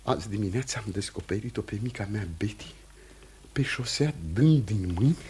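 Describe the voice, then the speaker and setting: An actor playing an anxious, troubled Puritan householder with a husky voice and an uneasy, hesitant manner in a radio play. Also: male voice, on the low side, animated, darkish tone, slight background noise, natural voice. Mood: troubled, ashamed. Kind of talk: radio theatre acting.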